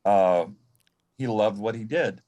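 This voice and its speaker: An American man from Michigan reminiscing as a panel guest in a halting, reflective way, often repeating words. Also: lowish voice, dark tone, highs cut off. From Michigan, United States. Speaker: panel guest, former assistant to an entertainer.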